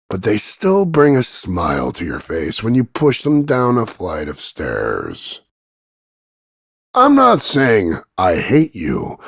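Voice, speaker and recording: A man voicing a cocky, gruff macho action hero, delivering scripted insults in a gravelly, husky, mocking deadpan drawl. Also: on the low side, dry recording, studio quality, full character voice. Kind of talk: scripted voice-over demo of one-line insults.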